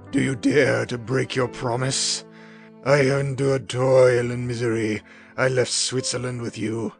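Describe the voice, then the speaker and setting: A man voicing a gravelly vengeful creature. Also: medium pitch, voice pushed up, close to an everyday voice. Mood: bitter pleading. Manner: slow, heavy, threatening delivery. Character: vengeful lonely male creature, anguished and menacing. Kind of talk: dramatic audiobook reading. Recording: music bed underneath.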